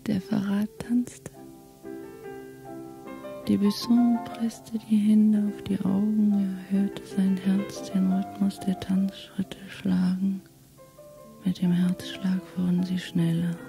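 A woman playing a hushed, ominous storyteller, whispering literary narration in a radio play. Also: medium pitch, little pitch movement, slow pace, gravelly. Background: music.

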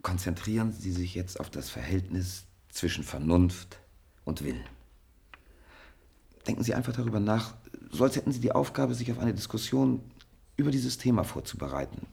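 An actor playing a stern, persistent scientist in a radio play, giving measured, insistent instructions in a husky, gravelly voice. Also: male voice, lowish voice, sing-song, mellow, heavily acted. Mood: measured, commanding. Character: stern, persistent male scientist running an experiment. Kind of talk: German radio play acting.

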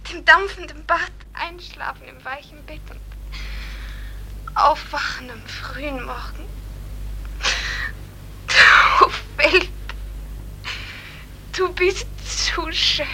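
A woman playing a dead young woman in a radio drama, emotional and halting, grieving for earthly life. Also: sits high, bright, forceful tone, slight background noise, full character voice, rough recording. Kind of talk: theatrical radio drama acting.

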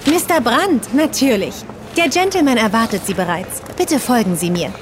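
An actress in a German audio drama playing a polite, attentive restaurant hostess with a formal, deferential manner. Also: female voice, a little high, animated, moderate pace, close to an everyday voice. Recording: clear ambient noise behind.

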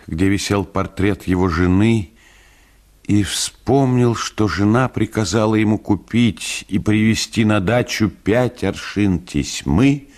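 A man reading a classic short story as a dramatised, expressive literary narration with an ironic touch. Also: fairly low pitch.

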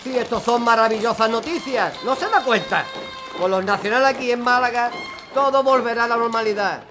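An actor playing an eager, hopeful son-in-law in a radio drama, pleading and optimistic. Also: male voice, very high voice, fairly quick, over music.

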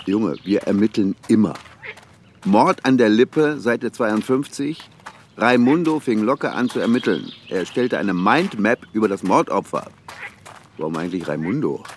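An actor playing a teasing, jovial senior police detective in a radio crime drama, with a wry, declamatory manner. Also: male voice, fairly low pitch, animated, moderate pace, a little hiss or hum. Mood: mock-grand.

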